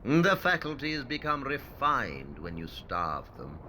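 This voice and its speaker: A man's voice: medium pitch, huge pitch swings, slow, pushed and bright, slight background noise, full character voice. An actor playing a coolly logical, aloof male detective in an audio drama, with a detached, matter-of-fact, clipped delivery.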